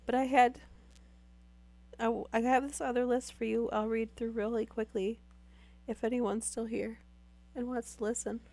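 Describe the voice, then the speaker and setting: A woman's voice: fairly high pitch, flat delivery, normal speed, darkish tone. A young woman chatting casually with live viewers while losing her voice to a sore throat, rambling with many fillers. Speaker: streamer.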